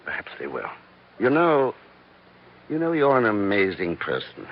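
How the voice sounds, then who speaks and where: An actor playing a suave, unflappable secret agent with a debonair manner in 1940s radio style. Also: male voice, fairly low pitch, huge pitch swings, a little slow, heavily acted. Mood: charming. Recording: muffled, noisy old radio broadcast.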